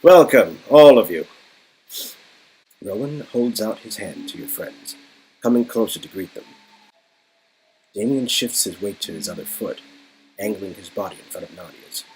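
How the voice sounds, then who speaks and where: A man's voice: lowish voice, animated, normal speed, a little hiss or hum, poor audio. A man reading narration for an interactive story game in a casual way, on an amateur home recording.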